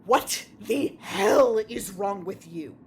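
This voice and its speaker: A woman's voice: mid-range voice, expressive, takes it slowly, darkish tone, a little hiss or hum. An actress playing a troubled, secretive young woman, hesitant and emotional, in a naturalistic audio drama. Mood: angry, anguished. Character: anguished, secretive young woman confronting a friend.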